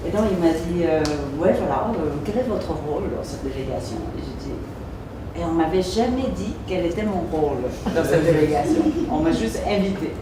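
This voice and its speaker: Woman, lively self-mocking storyteller, lowish voice, distant and echoey, background noise. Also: normal speed. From Vietnam. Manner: lively, self-mocking, often laughing, many restarts. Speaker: panelist at a literary roundtable. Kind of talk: anecdotal storytelling on a panel. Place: conference hall. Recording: echoey hall from far off, noisy.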